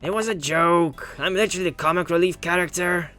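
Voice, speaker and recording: A man voicing earnest teenage friends in visual novel dialogue, expressive and conversational, as they debate a mystery. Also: high-pitched, a little fast, faint music behind.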